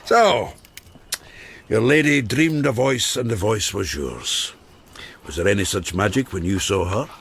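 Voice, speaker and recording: A man playing an earthy, haunted widower in a radio drama, an expressive teller who halts and repeats himself. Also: lowish voice, a little slow, husky, heavily acted. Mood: hearty, playful. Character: haunted, earthy widower who cooks and once went mad.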